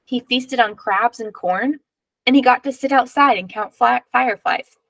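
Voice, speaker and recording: A woman reading a children's picture book aloud in measured, expressive narration, drawing out key words. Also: on the high side, gravelly, no room sound, rough recording.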